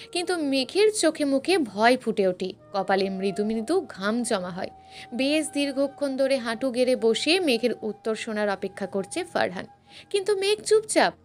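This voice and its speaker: Woman reading a dramatic story, kinda high voice, emotional delivery, soft music behind. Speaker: storyteller, narrator. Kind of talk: dramatic scripted story narration. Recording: music bed under the narration. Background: background music.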